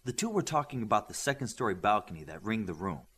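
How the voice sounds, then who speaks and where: Man narrating an audiobook with lively pitch. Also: fast, husky. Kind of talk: scripted story narration.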